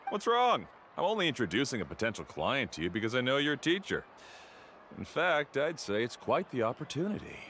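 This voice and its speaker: An actor playing an arrogant, dismissive guide-for-hire in a video game, with a haughty, scornful manner. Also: male voice, mid-range voice, sing-song, brisk, fairly bright tone, a little hiss or hum. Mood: smug. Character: arrogant, dismissive, mercenary male island guide.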